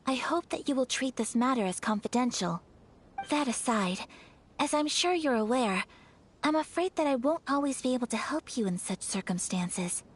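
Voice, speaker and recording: Actress doing a proud young clan lady for a game, highish voice, formal and measured, music behind. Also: lightly acted. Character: poised, dignified eldest daughter of a noble clan. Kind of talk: video game voice acting.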